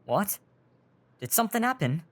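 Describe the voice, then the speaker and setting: A woman's voice: medium pitch, sing-song, moderate pace. A woman playing an earnest, anxious boy who leads street urchins, emotional, in an audio drama. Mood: worried.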